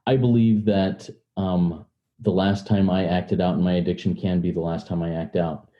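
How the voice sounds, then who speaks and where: Dull recording, man, deep pitch, very mellow dark tone, reflective interviewer, measured and hesitant. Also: flat delivery. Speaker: podcast host, interviewer.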